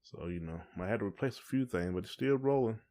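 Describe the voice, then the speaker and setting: A man's voice: fairly low pitch, animated, quick pace, dark tone. An American man co-hosting a podcast, talking in a casual, informal and colloquial way. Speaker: podcast co-host. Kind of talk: casual conversational chat.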